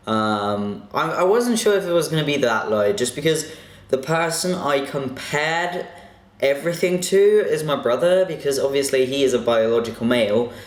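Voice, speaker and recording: A young man casually answering viewer questions in a vlog, chatty and a bit self-conscious, with lots of fillers. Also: medium pitch. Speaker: vlogger. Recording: echoey room.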